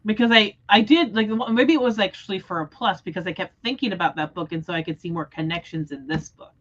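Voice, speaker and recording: A woman hosting a casual book discussion livestream, talking in an animated, expressive way. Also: medium pitch, quick pace, muffled recording. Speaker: host of book readalong livestream.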